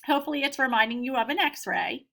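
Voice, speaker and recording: A woman's voice: high voice, animated, fast talker, gravelly, pushed and bright. An American woman teacher giving an instructional read-aloud for children, putting simple questions to her pupils. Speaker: elementary school teacher.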